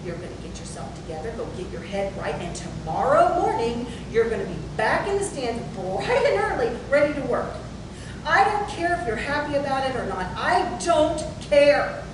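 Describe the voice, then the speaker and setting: A woman's voice: sing-song, moderate pace. A woman playing a blistering, domineering company boss in a stage play table reading, scolding with profane, bitter sarcasm. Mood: stern, commanding. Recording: echoey room, distant mic, noisy rumble.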